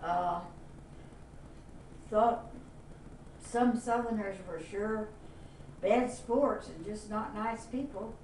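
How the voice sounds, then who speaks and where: An older woman from Florida looks back on her school years in a plain, reflective way, her voice mellow and relaxed. The recording is echoey, and she sits some way from the mic with a faint hiss behind her. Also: medium pitch, very slow, gravelly, pauses often, distant mic. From Miami, Florida. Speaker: interviewee. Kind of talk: oral history interview.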